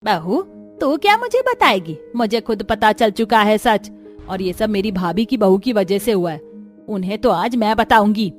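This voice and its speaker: A woman's voice: mid-range voice, sing-song, moderate pace, soft background music, natural voice. A woman voicing a proud, quarrelsome middle-aged mother-in-law in a family cartoon, scolding in an indignant, emphatic way. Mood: angry, scolding. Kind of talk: Hindi cartoon story dialogue.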